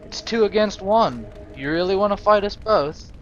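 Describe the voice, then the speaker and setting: A man's voice: sits high, lively intonation, moderate pace, faint music behind. A man giving casual, half in-character game commentary, hesitant and a little wry. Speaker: gamer narrating a role-play playthrough. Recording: dull lo-fi mic, clear background noise.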